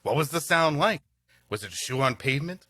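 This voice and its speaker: Guy co-host riffing, bright punchy voice, swoops around a lot, dry close recording. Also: fairly high pitch, lively intonation, fairly quick, no room sound. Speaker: podcast co-host. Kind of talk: casual comic banter and riffing.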